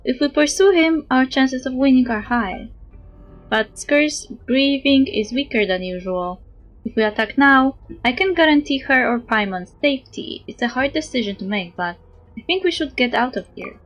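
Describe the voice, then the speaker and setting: A woman's voice: high-pitched, expressive, normal speed, lo-fi sound. A woman game streamer reading dialogue aloud and commenting in a playful, exclaiming manner. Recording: game music behind the voice.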